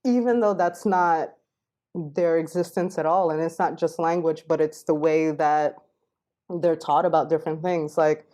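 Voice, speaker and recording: A woman from Queens, New York, talking as a podcast guest and linguistic anthropologist, explaining at length in a fluent, relaxed way with many fillers. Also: sits low, moderate pace. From Queens, New York, United States. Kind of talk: casual interview.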